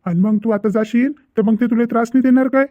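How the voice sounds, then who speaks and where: Man playing a stern, very high-pitched father. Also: steady tone, fast talker, dry recording, narrow, tinny audio. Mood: stern, questioning. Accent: Vidarbha Marathi. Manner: scolding, repetitive, insistent. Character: stern, exasperated middle-aged father of daughters. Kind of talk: amateur cartoon family drama.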